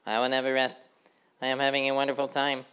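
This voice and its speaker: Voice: mid-range voice, completely flat delivery, fast, a bit bright, smooth, pitched above the performer's own voice, lightly acted. A narrator voicing a fussy housefly who sweeps the road and never wants to rest. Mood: eager. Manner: eager, fussy.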